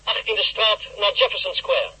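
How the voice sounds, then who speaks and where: An actress playing an alert police patrol officer reporting crisply over the radio in a Dutch radio play. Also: female voice, medium pitch, steady tone, normal speed, bright, forceful tone, low-quality recording.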